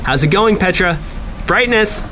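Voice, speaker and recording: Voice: mid-range voice, lively intonation, a little slow, noisy background, natural voice. An actor playing a breezy, cheerfully dismissive office manager in an audio drama.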